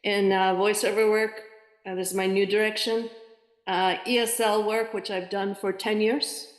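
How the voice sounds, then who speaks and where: A middle-aged woman with a standard American accent, a freelance ESL teacher and editor, talking casually with a client in a halting way full of fillers. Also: mid-range voice, flat delivery. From New York area. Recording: echoey room with long reverb.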